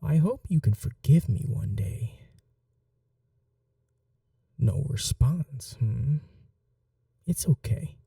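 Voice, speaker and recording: Casting: woman to play possessive vampire mistress for ASMR roleplay, very soft dark tone, slow teasing delivery, breathy whispers. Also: extremely low pitch, lively intonation, no echo, studio quality, recorded from a distance, full character voice. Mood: tender, regretful. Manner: teasing, coaxing, unhurried. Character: cruel, doting vampire mistress toying with her captive.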